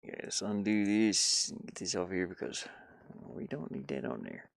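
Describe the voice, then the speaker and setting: A man giving casual, halting live commentary as he works on game art, muttering and thinking aloud. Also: low-pitched, expressive, gravelly, dry recording, clean studio sound. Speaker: streamer and hobby game designer.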